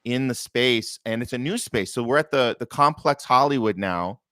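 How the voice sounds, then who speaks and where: A man's voice: mid-range voice, normal speed, pushed and bright, no echo, clean studio sound. An American man hosting an arts community show, chatting informally and enthusiastically and making announcements. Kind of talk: casual chat with announcements.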